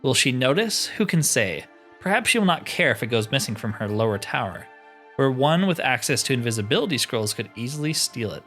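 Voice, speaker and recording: A man's voice: medium pitch, very animated, brisk, bright, forceful tone, soft background music. A man reading the part of a haughty, scheming wise woman in a video game, imperious and slyly suggestive.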